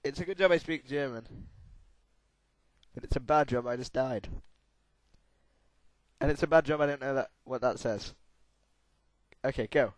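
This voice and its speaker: A hoarse young man with a sore throat, giving excitable let's-play commentary while gaming. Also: medium pitch, moderate pace, long pauses, no room sound, rough recording. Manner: excitable, yelps and laughs at crashes. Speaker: gamer.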